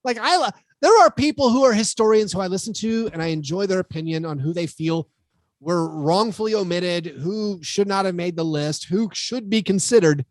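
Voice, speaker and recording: A man co-hosting a sports podcast, chatting casually with quick restarts and joking outrage. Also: high voice, animated, dry recording. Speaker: podcast co-host. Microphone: studio podcast mic.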